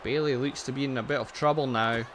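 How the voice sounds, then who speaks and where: A man's voice: mid-range voice, fairly even intonation, fast talker, background noise. A man giving casual, chatty play-by-play over a wrestling video game, joking and laughing at the action, his voice a little tired. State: voice getting tired. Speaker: gaming channel host.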